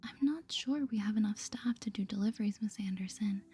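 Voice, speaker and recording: A woman voicing a polite, hesitant young shopkeeper heroine from a cozy game in a soft whisper. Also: medium pitch, brisk, breathy edge, faint music behind.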